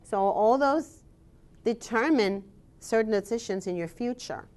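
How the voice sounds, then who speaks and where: A woman scholar and researcher giving a presentation, explaining in a measured way with frequent "um" pauses. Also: a little high, darkish tone. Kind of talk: presentation talk with slides.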